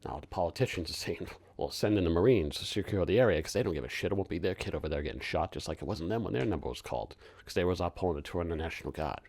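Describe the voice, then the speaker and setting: Man acting a low-voiced, cocky young working-class guy. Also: animated, rapid-fire, hardly any pauses, a little mellow. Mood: sarcastic, defiant. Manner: sardonic, colloquial, mounting rant. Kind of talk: theatrical film monologue.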